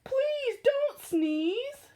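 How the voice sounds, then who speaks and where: A woman's voice: extremely high pitch, very animated, extremely slow, mellow, voice pushed up, full character voice. A woman voicing a worried male hippopotamus in a storybook reading, pleading anxiously.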